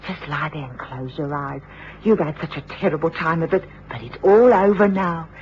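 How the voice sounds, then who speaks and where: A woman's voice: deep voice, moderate pace, darkish tone, natural voice. A woman playing a kindly, fussing motherly figure in an old radio drama. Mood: soothing concern. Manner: soothing, reassuring. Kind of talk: 1950s-60s British radio drama acting. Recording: dull, faintly noisy old radio recording.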